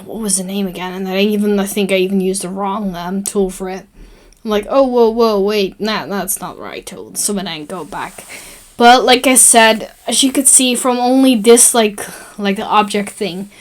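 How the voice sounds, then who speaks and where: Young Dutch woman with a Dutch accent, relaxed and rambling as she narrates her own screen-recording tutorial. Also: mid-range voice, moderate pace. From Netherlands. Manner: chatty and rambling, with many fillers and self-corrections. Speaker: tutorial host.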